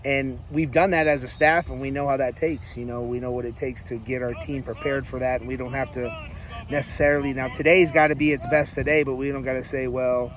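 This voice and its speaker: A man of about forty, a high school coach, giving casual interview answers. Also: steady tone, a little fast, a little hiss or hum. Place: outdoors by a sports field. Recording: outdoor field recording with background voices.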